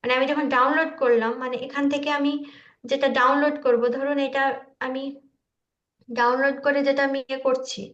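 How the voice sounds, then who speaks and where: A woman informally explaining her work in a halting, loose way on an online call. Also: sits high, level tone, fairly bright tone, roomy sound, muffled recording. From India. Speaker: video creator showing their work. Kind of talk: informal screen-share walkthrough.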